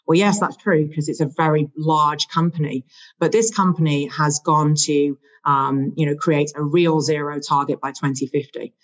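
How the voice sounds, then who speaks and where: An investment analyst guest explaining infrastructure investing, often pausing with "you know" fillers. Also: medium pitch, steady tone, highs cut off. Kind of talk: conversational explanation.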